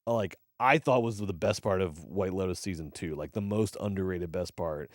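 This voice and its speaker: A fired-up young man talking movies on a live stream. His voice sits low but leaps up when he rants, and he swears freely. The sound is close and dry. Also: moderate pace, no room sound. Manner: excitable, swears often, swings loud and high when ranting. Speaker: podcast co-host. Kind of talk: casual movie podcast banter.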